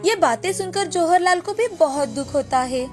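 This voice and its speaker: Female narrator for moral bedtime stories: high voice, scripted delivery, soft music bed. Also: expressive, moderate pace. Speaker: storyteller.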